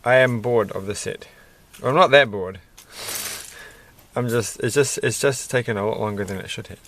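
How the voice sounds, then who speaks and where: A man rambling softly to himself while building a toy kit at his desk at night, self-deprecating and a bit tired. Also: medium pitch, normal speed. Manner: soft-spoken, muttering to himself, self-deprecating. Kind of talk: casual rambling build commentary.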